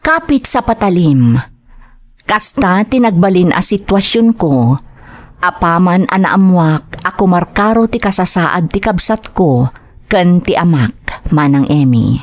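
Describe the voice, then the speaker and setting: A woman's voice: fairly low pitch, a little mellow. A woman acting an anguished young waitress daughter in an Ilocano drama, with an Ilocano accent and dramatic delivery. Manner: slow, dramatic delivery with tearful outbursts. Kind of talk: Ilocano radio-style drama acting and narration.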